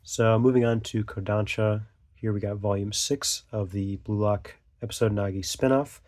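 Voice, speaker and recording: A man's voice: on the low side, steady tone, normal speed, a little mellow. A young man hosting a casual unscripted vlog, a little stuffy from a cold, talking hesitantly with lots of fillers and half-finished thoughts. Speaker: channel host.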